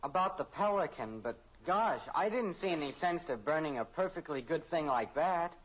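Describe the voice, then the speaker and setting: A man's voice: fairly high pitch, lively intonation, moderate pace, fairly bright tone. Meek American teenage boy, lightish voice. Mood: contrite. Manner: meek, artless, gee-whiz. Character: gawky, apologetic teenage son. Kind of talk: 1950s radio sitcom acting. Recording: muffled old radio recording.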